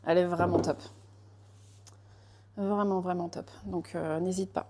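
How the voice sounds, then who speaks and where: Slow, chatty woman baking on a live stream. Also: on the low side, steady tone, dark tone, slight background noise. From France. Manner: chatty, laughs often, greets viewers by name. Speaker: pastry baker chatting with live viewers. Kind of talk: casual live chat while baking.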